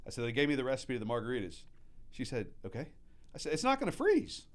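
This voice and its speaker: A man's voice: mid-range voice, fast talker. A man in his thirties, born in Mexico to American parents, chatting casually and acting out quotes as he tells stories about running restaurants. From born in Mexico, raised by American parents. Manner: animated storyteller acting out quotes. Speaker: guest, restaurant manager. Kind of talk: casual storytelling chat.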